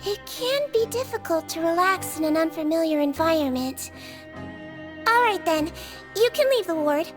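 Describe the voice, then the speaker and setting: A woman voicing a gentle young girl who works as a caring head nurse, soft and reassuring in a game cutscene. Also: extremely high pitch, animated, normal speed. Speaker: nurse in a prison fortress. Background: game soundtrack music.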